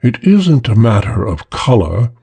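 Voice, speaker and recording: A man voicing a short, stout, emotionless man with a British accent who speaks in a slow drawl. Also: medium pitch, very animated, gravelly, warm tone, slightly rough, heavily acted. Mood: cold.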